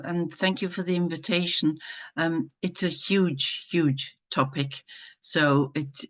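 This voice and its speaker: A woman speaking as a patient advocate on an online panel, in a measured, deliberate way. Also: low-pitched. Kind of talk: webinar panel answer.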